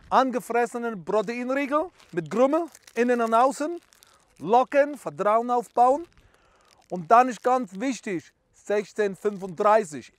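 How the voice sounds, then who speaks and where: A man's voice: very high-pitched, dry recording. A man playing a boastful self-proclaimed bird photographer who speaks broken German mixed with English. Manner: boastful, stumbling over words. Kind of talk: parody how-to video.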